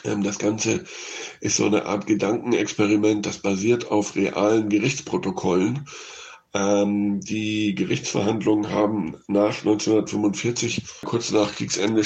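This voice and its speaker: Male radio film reviewer, gravelly fry, unscripted, thinking out loud. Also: fairly low pitch.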